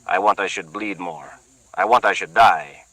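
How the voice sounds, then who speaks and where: A man voicing a dazed, beaten man who speaks quietly and solemnly in broken English. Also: deep voice, normal speed, no echo, low-quality recording.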